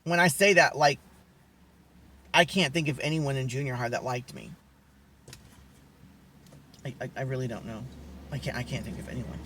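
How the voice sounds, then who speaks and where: A young man with a high voice, telling personal stories in a rambling, candid way, often losing his thread. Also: steady tone, normal speed, pauses often, fairly bright tone, slight background noise. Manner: rambling, candid, lots of restarts and asides. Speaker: vlogger. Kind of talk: casual storytelling to camera.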